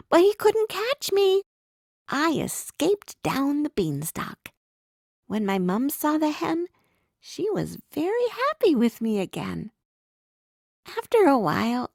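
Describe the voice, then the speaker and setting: A woman narrating a scripted bedtime story for young children in an expressive way, telling it as the main character. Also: medium pitch, vocal fry, no echo, clean studio sound.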